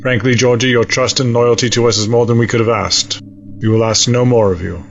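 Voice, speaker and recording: A man playing a grave, resolute military admiral in an audio drama, measured and authoritative. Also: medium pitch, steady tone, creaky, faint music behind, natural voice. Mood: grave, grateful. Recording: dull lo-fi sound.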